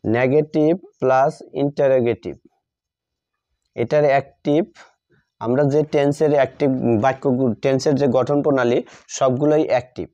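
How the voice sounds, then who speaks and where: A man teaching a grammar lesson step by step, deliberately repeating phrases and answering his own questions. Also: mid-range voice, fairly even intonation, dark tone. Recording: dull lo-fi sound.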